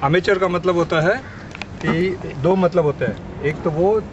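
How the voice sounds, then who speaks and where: An Indian-accented man with a slightly high voice presents informally, switching between two languages. He is halting and often rephrases. The sound is dull, with clear street noise around him. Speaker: ham radio presenter introducing a colleague. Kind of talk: informal talk mixing Hindi and English. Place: outdoors at an urban portable radio station. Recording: muffled outdoor recording, clear street noise.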